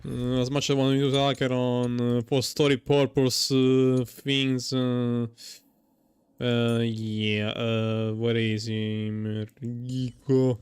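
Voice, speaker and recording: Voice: medium pitch, level tone, slow pace, a little mellow, smooth, no room sound. A video game streamer giving casual gameplay commentary, thinking aloud hesitantly and often repeating words. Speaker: gaming streamer.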